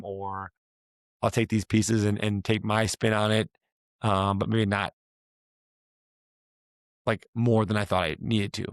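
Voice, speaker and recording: A man hosting a casual talk, his voice hoarse and raspy from a week of yelling, rambling and restarting as he asks questions. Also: on the low side, dry recording, clean studio sound. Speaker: podcast host, fitness coach. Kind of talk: casual chat.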